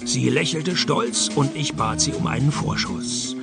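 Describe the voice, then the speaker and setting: A man playing a cynical, deadpan private detective and narrator in a radio play. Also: medium pitch, unhurried, gravelly, over loud music. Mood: laconic narration. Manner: deadpan, sardonic.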